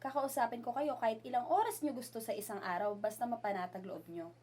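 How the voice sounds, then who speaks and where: A woman playing an earnest young provincial student and affectionate daughter in an amateur radio drama, reassuring and gently teasing. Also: fairly high pitch, fast, lightly acted.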